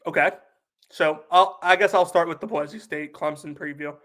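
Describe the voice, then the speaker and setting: Sick young man on a podcast, higher voice. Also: fairly even intonation, normal speed, sharp tone. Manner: lots of "like" fillers, chatty. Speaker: podcast co-host. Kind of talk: casual sports podcast chat.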